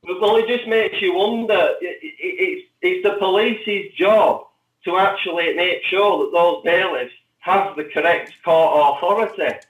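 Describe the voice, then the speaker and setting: Casting: man recounting events haltingly, higher-than-average pitch, recorded at a distance in an echoey room. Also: a bit bright. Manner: halting, repeats words. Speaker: interview guest. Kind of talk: spontaneous interview account. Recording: roomy echo, distant from mic.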